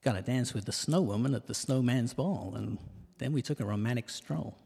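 A man playing a cheeky, self-mocking detective who narrates a comic audio drama in rhyme, landing puns deadpan. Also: mid-range voice, fairly quick, gravelly, breathy edge. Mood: wry, cheeky. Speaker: narrator and lead character. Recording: reverberant hall sound.

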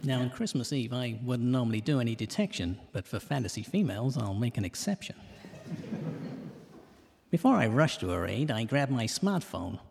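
A man's voice: medium pitch, big pitch swings, normal speed, vocal fry, background noise. Man narrating comic verse, reverberant. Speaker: narrator of a comic detective podcast. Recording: long reverberant hall echo.

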